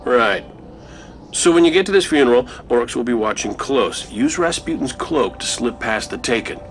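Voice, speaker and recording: A man's voice: medium pitch, lively intonation, natural voice. Man playing a cocky, wisecracking commander, music behind. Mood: briskly instructing. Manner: breezy, sardonic, brisk commands. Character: roguish male android scout commander, cocky and wisecracking. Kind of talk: video game voice acting. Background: loud music.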